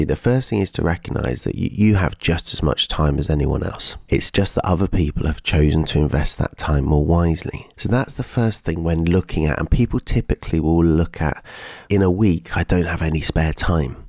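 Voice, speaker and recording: Deep, gravelly male podcast host, congested with a cold, dry close sound. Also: dry recording. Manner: steady, explanatory, advisory. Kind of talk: solo podcast talk.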